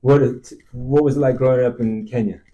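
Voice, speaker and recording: A man hosting a podcast interview in a casual, halting way, with lots of fillers and surprised interjections. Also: medium pitch, steady tone, mellow, lo-fi sound. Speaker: podcast host, real estate agent.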